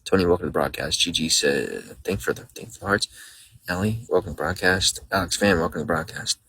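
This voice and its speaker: A young man with a deep yet bright, forward voice rambles on his live stream, greeting viewers by name and reading out their comments. He talks loosely, with plenty of fillers, into a phone mic. A faint hiss sits under his voice. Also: lively intonation, fast, gravelly. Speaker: livestreamer. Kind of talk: casual live-stream chat.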